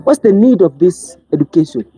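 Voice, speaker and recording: A commentator giving an impassioned monologue. The speaker is preachy, asks rhetorical question after question, and swings between loud outbursts and low pleading. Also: lively intonation, fairly quick, slightly rough, soft background music. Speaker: online commentator.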